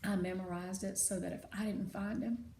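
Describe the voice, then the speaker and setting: A woman narrating a children's story expressively, with deliberate, dramatic phrasing. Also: medium pitch, level tone, mellow. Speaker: read-aloud narrator. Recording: roomy sound with faint noise.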